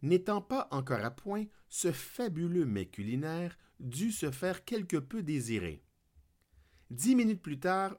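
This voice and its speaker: Man, Québécois French accent, podcast host, scripted comic monologue, playful declamatory delivery, very animated pitch. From Quebec.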